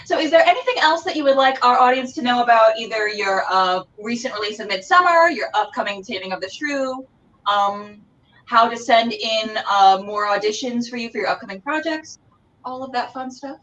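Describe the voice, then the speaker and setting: A woman's voice: on the high side, expressive, normal speed, a bit bright, recorded from a distance, dull audio. A woman hosting a casual interview show in a gushing, effusive way, heard a little away from the microphone. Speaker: show host.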